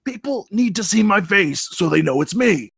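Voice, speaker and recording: Man playing a gravelly, excitable, vain Bigfoot. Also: high voice, normal speed, full character voice. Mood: outraged. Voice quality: gravelly, raspy. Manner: needy, dramatic, swinging from sulky to indignant. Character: vain, insecure, excitable male Bigfoot, amateur movie star. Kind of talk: comedic screenplay table read.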